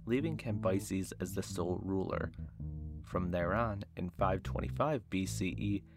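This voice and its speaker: A man's voice: deep voice, creaky. A man hosting a podcast, narrating history from a script with wry, jokey asides. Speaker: podcast host. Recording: music bed behind the voice. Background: guitar music.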